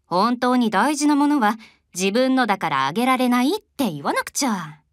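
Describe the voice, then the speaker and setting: A doting young mother, high-voiced and affectionate, coaxing her little boy cheerfully. The recording is close and dry, in anime drama style. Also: big pitch swings, normal speed, no echo. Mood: tender. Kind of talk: Japanese anime-style audio drama acting.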